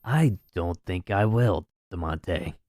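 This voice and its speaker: Man playing slow, low, smug conspirator. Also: darkish tone, no room sound. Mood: smug taunting. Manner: mocking, condescending. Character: smug taunting conspirator, mockingly sweet and cruel. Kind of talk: audio drama acting.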